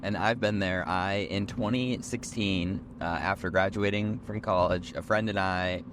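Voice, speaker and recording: Hoarse, deep-voiced young man chatting in a car. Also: steady tone, a little slow. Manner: rambling, self-correcting, chatty. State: hoarse, short on sleep. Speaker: podcast co-host. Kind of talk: casual chat on a road trip. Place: moving car. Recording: road noise from a moving car.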